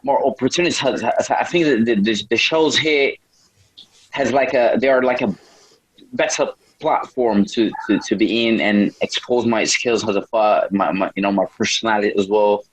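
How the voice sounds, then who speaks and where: A young man from a small town in Brazil with a Brazilian accent, answering interview questions casually in halting non-native English, often repeating words. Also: lowish voice, breathy edge, no room sound, poor audio. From Brazil, small town in the north. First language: Portuguese. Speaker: MMA fighter, podcast guest.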